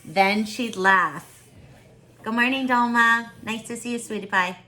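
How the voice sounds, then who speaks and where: A woman teaching on a video call, reading aloud and chatting with children in an expressive, encouraging way. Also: fairly high pitch, a little slow. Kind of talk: online storybook read-aloud with casual chat.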